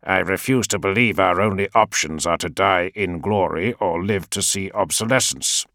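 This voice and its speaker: A man voicing a proud, fiery male war leader who speaks in a raised, forceful way. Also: sits low, moderate pace, dry recording, clean studio sound. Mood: weary. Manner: forceful, raised, impassioned delivery.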